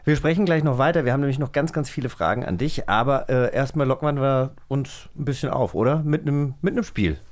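A man co-hosts in a casual, conversational way. He talks very quickly, in a mid-range voice. The style is that of an informal chat show. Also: medium pitch. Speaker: co-host of an audio drama magazine show.

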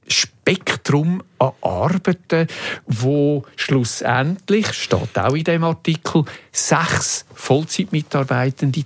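Interview host, man, Swiss German accent, mid-pitched with vocal fry, thoughtful, searching phrasing. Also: no echo. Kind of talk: conversational interview about radio history.

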